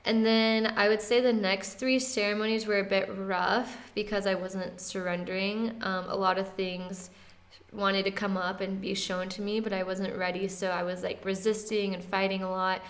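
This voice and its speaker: Young woman guest, flat even delivery, soft-spoken and easygoing, casual interview talk. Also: medium pitch, moderate pace. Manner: soft-spoken, relaxed, often laughing lightly, many "like" fillers.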